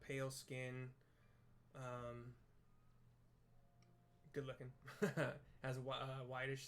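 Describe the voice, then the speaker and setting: A man casually describing game scenes as he plays, in a low-key, halting, improvised way.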